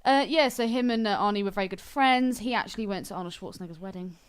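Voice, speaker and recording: A woman's voice: mid-range voice, fast, smooth. A woman co-hosting a podcast, chatting casually and teasingly about films. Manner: teasing, giggly, swears. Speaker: podcast co-host. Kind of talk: casual film chat.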